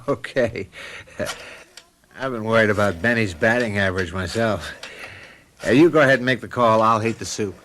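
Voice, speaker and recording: An actor playing a middle-aged suburban husband in a radio drama, affable and conversational but easily irked. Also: male voice, lowish voice, moderate pace, pauses often, a bit bright, natural voice. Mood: easygoing. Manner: conversational, folksy, grumbles when slighted. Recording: noisy radio drama with background sound effects.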